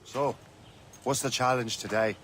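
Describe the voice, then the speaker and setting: Man playing cheeky young trainee for a game; medium pitch, flippant, casual, faint outdoor ambience. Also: fairly even intonation, unhurried, background noise, natural voice. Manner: flippant, informal. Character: cocky, casual young male assassin trainee.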